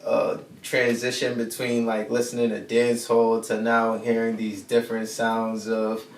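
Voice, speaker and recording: Gravelly, flat-voiced young man from Jamaica. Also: mid-range voice, unhurried. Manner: laid-back, peppers talk with "feel me" and slang. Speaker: rapper guest. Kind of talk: casual interview chat. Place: studio set with brick backdrop. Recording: roomy echo, distant mic, faint hiss.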